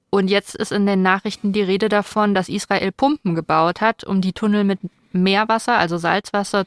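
A woman's voice: mid-range voice, smooth, no echo, studio quality. A woman hosting a podcast, asking questions in a conversational, informal way. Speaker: podcast host interviewing. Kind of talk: conversational interview, informal address.

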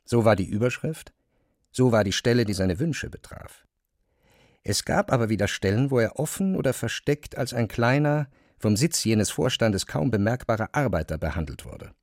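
A man's voice: mid-range voice, animated, moderate pace, darkish tone, dry recording, studio quality. A man reading scripted literary narration as the narrator of a radio play.

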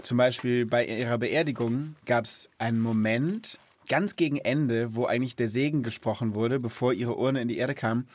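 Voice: medium pitch, normal speed, a little mellow, no echo. A podcast host chatting casually and reflectively for children, in an encouraging, conversational way.

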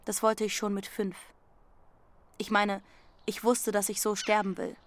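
A woman's voice: mid-range voice, monotone, a little slow, slight background noise, lightly acted. A woman playing a dreamy, stubborn teenage runaway girl who tells her story intimately in short plain phrases in a radio drama. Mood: brooding, dreamy. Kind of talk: first-person radio drama narration with dialogue.

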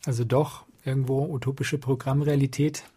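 A man working as a radio interviewer, putting measured questions to his guest. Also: medium pitch, flat delivery, warm tone, husky.

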